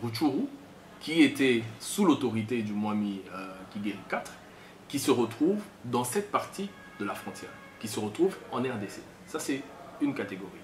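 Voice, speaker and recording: A man's voice: medium pitch, big pitch swings, normal speed, a little mellow, faint music behind. A man with a Congolese accent gives an unscripted explanatory talk, teaching step by step and often repeating himself. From DR Congo, Kasai region. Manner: didactic, step-by-step with repetition. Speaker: commentator on history. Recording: echoey room, faint background noise.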